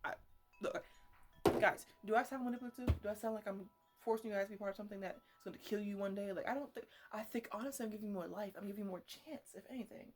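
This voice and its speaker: A woman's voice: medium pitch, fast, a little mellow, soft background music, close to an everyday voice. A woman playing a teasing, slangy botanist who chats to her plants in a casual ASMR roleplay. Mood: excited, defensive. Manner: rambling, bantering, slangy.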